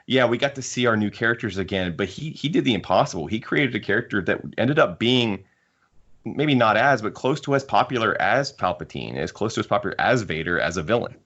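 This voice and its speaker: A man with a fairly low voice hosts a casual discussion. He speaks emphatically and with firm opinions. The recording is muffled and lo-fi. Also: animated, a little fast, fairly bright tone. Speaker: podcast host. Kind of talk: casual panel discussion of books. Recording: muffled lo-fi audio.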